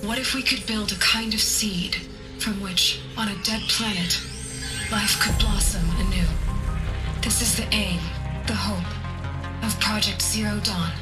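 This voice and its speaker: An actress playing a visionary woman scientist in a video game, presenting in a measured, grave, persuasive way. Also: medium pitch, close to an everyday voice. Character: visionary woman scientist leading a project to revive life.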